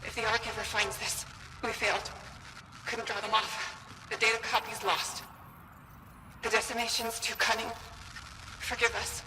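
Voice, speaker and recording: A woman's voice: fairly high pitch, level tone, moderate pace, long pauses, pushed and bright. A woman playing a despairing alien officer recording a final log in a video game, heard with reverb and noise. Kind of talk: video game cutscene acting. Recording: reverberant, noisy playback of a log.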